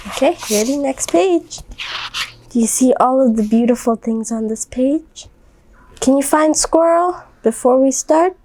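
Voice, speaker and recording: A woman's voice: sits high, warm tone. A woman reading a picture book aloud to young children, explaining simply and putting questions to the listeners. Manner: simple, instructive phrasing with frequent questions. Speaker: volunteer children's book reader.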